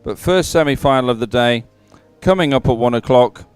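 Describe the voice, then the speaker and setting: A man giving live sports commentary in a chatty, somewhat halting announcing style. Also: medium pitch, clean tone, no room sound, soft background music.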